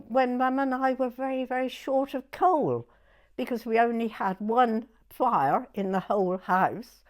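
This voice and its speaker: A woman in her nineties with a southern English accent, reminiscing in an unhurried, halting way in short broken phrases. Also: on the high side. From southern England. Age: 90s. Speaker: oral history interviewee. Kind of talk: personal reminiscence in conversation.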